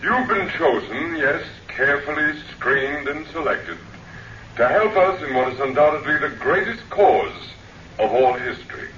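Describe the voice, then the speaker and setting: An actor playing a dignified, elderly-looking alien lecturer in human guise in a radio drama, formal, deliberate and oratorical. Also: male voice, a little high, expressive, a bit bright, highs cut off. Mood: grand, explanatory. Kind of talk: old radio drama speech. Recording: echoey, distant, noisy vintage radio recording.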